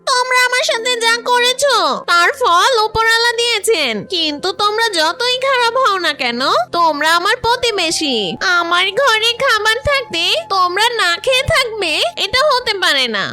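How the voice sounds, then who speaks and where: A performer voicing a small, feisty but soft-hearted female bird in a children's cartoon fable, speaking expressively. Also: very high voice, lively intonation, normal speed, few pauses, full character voice. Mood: kindly firm.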